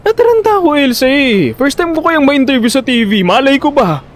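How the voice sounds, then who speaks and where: Man playing a high-voiced, flustered Filipino big brother. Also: expressive, a little fast. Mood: defensive. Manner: flustered, stammering when pressed, exasperated. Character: panicky, talkative older brother, a debt collector witness. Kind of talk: first-person narration and dialogue in Filipino audio drama.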